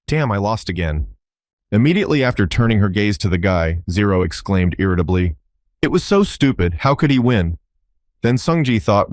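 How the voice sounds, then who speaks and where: A man reading a scripted story recap in a steady, even way. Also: sits low, dry recording. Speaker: narrator.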